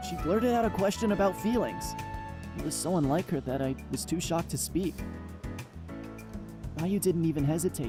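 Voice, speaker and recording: A man's voice: fairly high pitch, lightly acted. An actor plays an earnest, goofy student boy in love, a loyal buddy in a visual novel. Music plays behind his lines.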